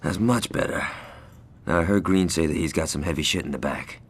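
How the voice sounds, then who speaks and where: A man's voice: a bass voice, fairly quick, noisy background. An actor playing a brash, hot-headed male gangster who is cocky, sarcastic and foul-mouthed. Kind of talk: video game cutscene acting.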